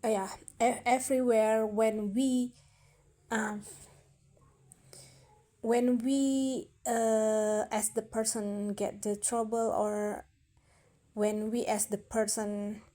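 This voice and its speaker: Very slow-talking Indonesian woman. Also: a little high, level tone, warm tone. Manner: halting, searching for words, many fillers and restarts. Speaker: vlogger. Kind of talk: casual storytelling to camera.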